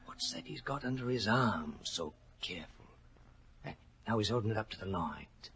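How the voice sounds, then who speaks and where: An actor playing a plain-spoken horse trainer in a 1940s radio drama, on a noisy vintage recording. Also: male voice, on the low side, animated, normal speed, fairly bright tone, breathy edge, exaggerated voice. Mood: hushed, wary. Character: gruff, bold English head horse trainer, respectful to gentlemen.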